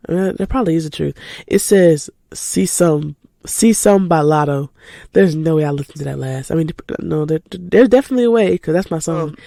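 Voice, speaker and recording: An eighteen-year-old woman chats casually and spontaneously as a podcast host, animated and informal, slightly stuffy from a cold. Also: low-pitched, fairly quick, creaky, no room sound, studio quality. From United States, lives in Georgia. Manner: animated, informal, addresses listeners as y'all. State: recovering from a cold.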